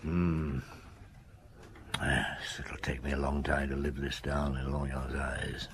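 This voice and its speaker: An actor playing a shrewd, authoritative Paris police chief who questions in a probing, measured way in a radio drama. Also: male voice, rumbling low, level tone, fairly bright tone, slight background noise, heavily acted. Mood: commanding, then brooding, muttering.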